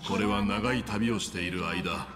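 A male actor as a gentle old wanderer from a game, with a low voice and polite, reflective phrasing. The voice rings with long reverb, and music plays clearly behind it. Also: lightly acted. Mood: solemn, gentle. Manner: polite, measured, reflective speech. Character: gentle, polite old male trailblazer looking back on life. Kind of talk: Japanese game voice acting.

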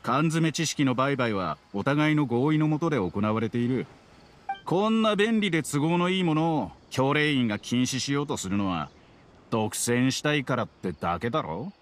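Surly, expressive buyer played by a man. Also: mid-range voice, moderate pace, a little hiss or hum, lightly acted. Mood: defiant. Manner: surly, argumentative. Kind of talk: Japanese video game voice acting.

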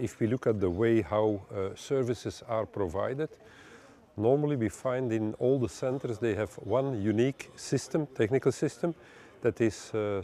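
A man, giving measured explanatory interview answers in phrasing. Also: lowish voice, dark tone. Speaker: head of engineering, interviewee. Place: large indoor operations room. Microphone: lapel mic.

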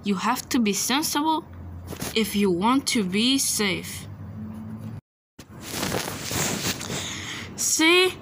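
A woman voicing a cheerful, friendly male tractor in a sing-song, gently teasing way, as in a fan-made cartoon voice reel. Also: on the high side, lively intonation, slow, slight background noise, full character voice.

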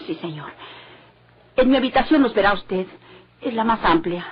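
A woman's voice: medium pitch, animated, normal speed, husky. Anxious, polite Mexican woman, muffled old radio. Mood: obliging. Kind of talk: golden-age Mexican radio drama acting. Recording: dull vintage radio recording.

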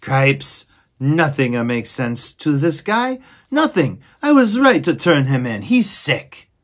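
A bossy young male mouse in a storybook, impatient and scolding as he nags his brother, voiced by a man reading aloud. The voice sits high and pushes hard, with a husky, breathy grain. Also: big pitch swings, slow pace. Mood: exasperated.

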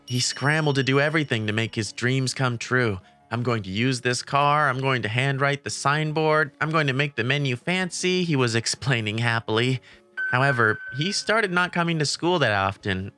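A woman's voice: extremely low pitch, moderate pace, a bit bright. A woman voicing a timid, kindhearted teenage boy who narrates his story and stammers when flustered, in a manga comic dub. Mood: wistful narration. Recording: background music under the voice.

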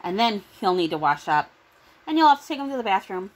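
A woman's voice: medium pitch, sing-song, fast talker, poor audio. A middle-aged woman reading a children's picture book aloud. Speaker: children's story reader.

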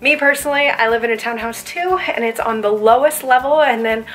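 A thirties American woman talks casually to camera as a real estate agent. Her voice is on the high side and very bright, with a crisp forceful edge. She is chatty and enthusiastic, and music plays behind her. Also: brisk. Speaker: real estate agent hosting a house tour. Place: inside a furnished townhouse.

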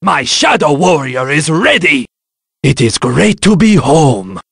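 Aged rat ninja mentor for a video game: gravelly, formal and sage, pitched fairly high with very lively swings. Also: male voice, takes it slowly, no echo, studio quality, exaggerated voice. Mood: energetic, confident. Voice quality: raspy, gravelly. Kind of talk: video game battle lines and advice.

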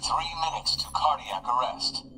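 A man's voice: medium pitch, animated, moderate pace, close to an everyday voice. A man voicing an impassive automated medical system in a video game, making clipped, procedural announcements. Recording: distant, long reverb, music behind.

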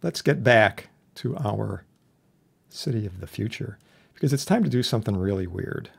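A man instructing in an informal software tutorial, chatty and plain-spoken with a wry streak. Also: on the low side, lively intonation, normal speed, gravelly, slightly rough. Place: indoors, voice-over for a screen recording.

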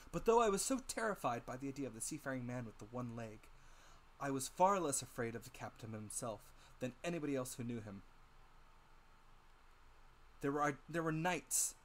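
A man's voice: medium pitch, a little hiss or hum. A man narrating a classic adventure novel as a dramatic audiobook reading.

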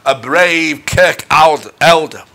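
Slow-paced middle-aged male preacher. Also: fairly high pitch, sharp tone. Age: middle-aged or older. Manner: measured, earnest, deliberate phrasing. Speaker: minister. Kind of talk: personal testimony sermon. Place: indoors in front of a dark curtain. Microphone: lapel mic.

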